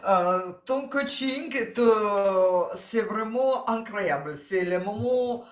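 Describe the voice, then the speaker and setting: Slow-talking woman with a Ukrainian accent. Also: medium pitch, expressive, reverberant. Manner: slow, searching for words, laughs often. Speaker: interview guest, coaching client. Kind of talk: testimonial interview.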